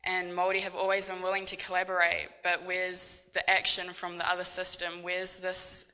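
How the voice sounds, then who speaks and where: Earnest woman, flat delivery, echoing hall. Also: fairly low pitch, normal speed. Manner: earnest, questioning. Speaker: youth panelist. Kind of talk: panel remarks. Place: large conference hall. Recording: hall reverb.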